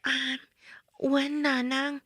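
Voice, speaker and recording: Meek young radio-drama wife, soft and apologetic, slightly high voice, no room sound. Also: female voice, little pitch movement, drawn-out words, no room sound, studio quality, heavily acted. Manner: soft-spoken, apologetic, hesitant. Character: meek, dutiful eighteen-year-old orphaned young wife.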